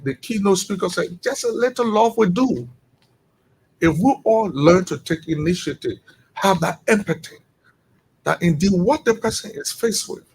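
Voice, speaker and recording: A man's voice: high-pitched, a little mellow, husky, poor audio. A middle-aged Liberian man with a Liberian accent, speaking as a guest on a radio interview and pressing his case with emphatic rhetorical questions. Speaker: executive director of a returnee network.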